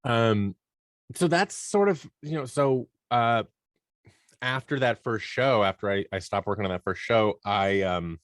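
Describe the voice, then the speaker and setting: Low-voiced man chatting, dry close sound. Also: a little slow, no room sound. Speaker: webinar guest, audio drama producer and sound designer. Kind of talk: casual interview talk.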